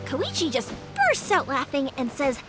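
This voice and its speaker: Bubbly young fireworks girl, high bright voice that bounces up and down, game music behind. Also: wildly swooping pitch, normal speed. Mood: cheerful. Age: young adult. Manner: bubbly, chatty storyteller. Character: cheerful young woman fireworks maker, friendly. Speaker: fireworks maker. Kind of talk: game voice acting. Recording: game dialogue over music.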